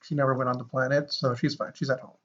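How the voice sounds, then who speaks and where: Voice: mid-range voice, level tone, quick pace, fairly bright tone. Rambling podcast host, muffled sound. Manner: rambling, repeats phrases. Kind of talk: casual improvised banter.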